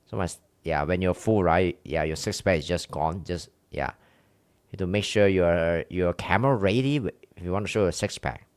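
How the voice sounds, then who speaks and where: A man's voice: low-pitched, moderate pace, clear, resonant tone, no echo. A man with a Chinese accent, a streamer chatting casually with his viewers, rambling and candid with many restarts. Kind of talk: casual live-stream chat with chat.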